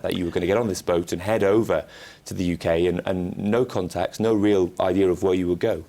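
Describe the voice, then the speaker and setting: A male television interview host giving formal, measured introductions and questions. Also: sits low, mellow. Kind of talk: broadcast interview.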